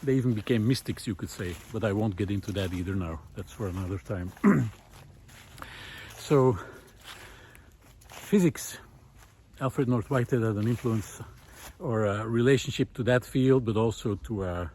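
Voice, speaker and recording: A man giving a casual, unscripted monologue outdoors on a woodland trail, musing and halting as he thinks aloud. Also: on the low side, warm tone, a little hiss or hum. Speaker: host of a recorded monologue series.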